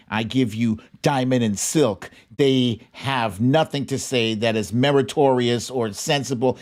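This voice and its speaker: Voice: medium pitch, darkish tone. An American host giving unscripted, opinionated political commentary in a blunt, indignant, emphatic manner.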